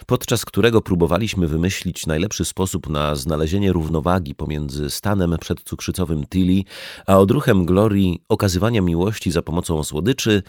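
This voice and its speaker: A man reading a nonfiction audiobook in a measured, articulate way. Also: low voice, a little mellow, no room sound, clean studio sound. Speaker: audiobook narrator. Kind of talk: scripted nonfiction audiobook narration.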